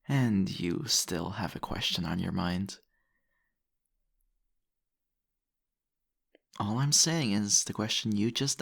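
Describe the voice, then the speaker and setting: Seductive vampire host male actor, ASMR roleplay. Gravelly, husky murmur, possessive and teasing, very dry close-up sound. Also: fairly low pitch, a bit bright, dry recording, heavily acted. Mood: smooth, probing. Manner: slow, teasing, murmuring, deliberate. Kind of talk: intimate ASMR roleplay addressed to the listener.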